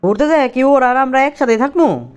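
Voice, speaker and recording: Casting: henpecked young cartoon husband, played by a woman, high-set animated voice, Bangladeshi accent, incredulous complaining. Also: brisk. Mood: exasperated. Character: exasperated, henpecked young husband pleading with wife. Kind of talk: comic cartoon dialogue in colloquial dialect.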